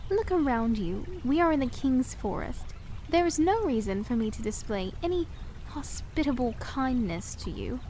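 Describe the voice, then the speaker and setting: A woman's voice: high-pitched, lively intonation, moderate pace, warm tone, slight background noise. A woman playing a proud young princess in a fantasy audio drama, with formal, old-fashioned, precise diction. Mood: guarded, curt. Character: proud young princess, soft-spoken yet defiant, sharp-tongued.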